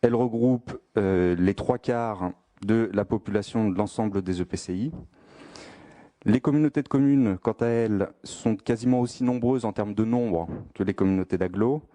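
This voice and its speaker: A man giving a formal spoken presentation of a statistical study in a measured, careful, explanatory manner. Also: fairly low pitch, warm tone.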